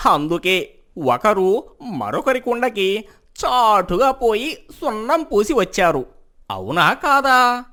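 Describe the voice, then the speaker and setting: Woman acting a witty, theatrical male court jester, very animated. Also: medium pitch, slow, long pauses. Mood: accusing. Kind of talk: animated moral story dialogue.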